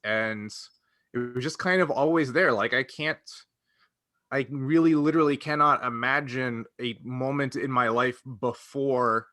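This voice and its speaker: A man's voice: medium pitch, a little slow, bright, forceful tone, no room sound, studio quality. A man chatting casually as a podcast co-host, reminiscing in a rambling, hesitant way that often doubles back on itself. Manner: rambling, hesitant, self-interrupting. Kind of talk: casual chat, personal reminiscing.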